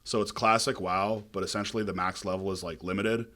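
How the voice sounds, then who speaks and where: Young man, deep voice, bright forceful tone, casual podcast guest, rambling with fillers. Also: a little fast. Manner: relaxed storytelling with many fillers.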